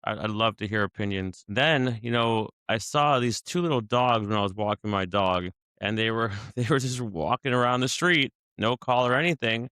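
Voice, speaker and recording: A man at a middle pitch talks through his thoughts in an easygoing, off-the-cuff way, often restarting and adding asides. His voice is smooth and resonant. The recording is close and dry, with no room sound. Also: normal speed, hardly any pauses, bright, forceful tone, no room sound. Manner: conversational, searching, frequent restarts and asides. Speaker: vlogger sharing daily reflections. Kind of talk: casual spoken reflection.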